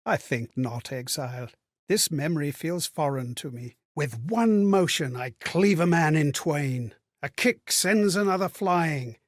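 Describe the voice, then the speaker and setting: A courteous lost spirit from a fantasy video game, steeped in melancholy. His voice sits a little high and sounds dry and close. He delivers dramatic monologues with measured, theatrical reflection. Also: no room sound. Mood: proud, boastful. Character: melancholy, courteous lost male spirit reliving strangers' memories.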